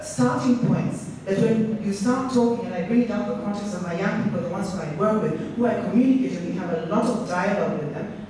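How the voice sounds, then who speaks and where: A woman's voice: medium pitch, warm tone. A middle-aged woman from Kenya giving a public speech, earnest and reflective, often restarting her words. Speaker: speaker. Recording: echoey hall, speaker far from mic, faint noise.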